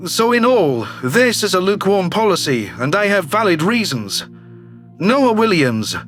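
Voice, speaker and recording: A man's voice: sits high, fairly bright tone, voice pushed down. A man voicing a brash, slick alien governor who talks with glib self-assurance, in a sci-fi audio drama. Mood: matter-of-fact. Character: brash, slick alien politician, newly elected male governor. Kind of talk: audio drama character reading.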